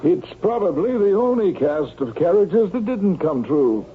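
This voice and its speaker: Older know-it-all, high lilting voice, fussy, emphatic, radio drama, noisy thin broadcast. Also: male voice, sing-song, normal speed, phone-quality sound. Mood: knowing, insistent. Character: older, pedantic, insistent male mystery book buff. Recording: thin band-limited radio broadcast, background noise.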